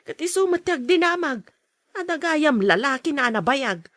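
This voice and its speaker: A woman's voice: high-pitched, animated, normal speed, fairly bright tone, dry recording. A woman playing a practical, admonishing middle-aged aunt in a radio drama. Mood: gossipy. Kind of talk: Ilocano radio drama acting.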